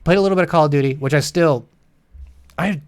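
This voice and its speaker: A man's voice: fairly high pitch, fast. A man co-hosting a gaming livestream, chatting casually with an exasperated, sweary, ranting manner.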